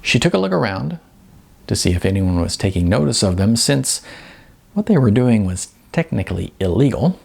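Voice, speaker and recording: A man's voice: on the low side, big pitch swings, moderate pace, creaky, a little mellow, husky. A man reading scripted story narration as an audiobook narrator.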